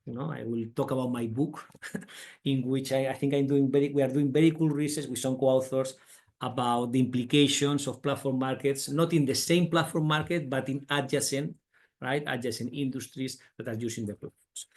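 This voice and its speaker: Spanish-accented middle-aged professor lecturing. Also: male voice, mid-range voice, steady tone, normal speed. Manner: tag questions and "right?" fillers, enthusiastic. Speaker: business school professor. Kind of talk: webinar lecture. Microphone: computer mic on a video call.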